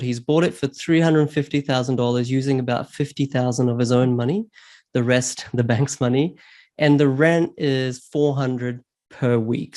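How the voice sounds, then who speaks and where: A man's voice: medium pitch, a little mellow. A man hosting a casual interview, speaking in an upbeat, conversational way. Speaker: interview host, property investment coach.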